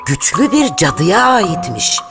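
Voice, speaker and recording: A woman's voice: medium pitch, takes it slowly. A woman reading a fairy tale as a narrator, in a lilting storytelling manner. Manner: lilting storytelling, lively intonation. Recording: narration over clear background music.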